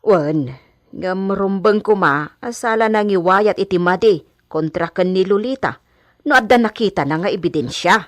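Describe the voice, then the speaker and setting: An actress with an Ilocano accent playing a gossipy, outspoken hacienda maid in a radio drama. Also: female voice, mid-range voice, moderate pace, dry recording, natural voice.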